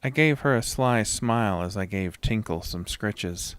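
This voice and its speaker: A man with a low voice narrates a horror audio story in the first person. His delivery is slow, measured and dramatic, and the recording is very dry. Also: smooth, dry recording.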